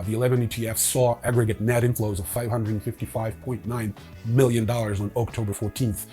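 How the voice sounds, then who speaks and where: A man's voice: medium pitch, a little mellow. Man hosting casual news over music. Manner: wry, opinionated asides. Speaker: host of a crypto news show. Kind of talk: casual news rundown with commentary.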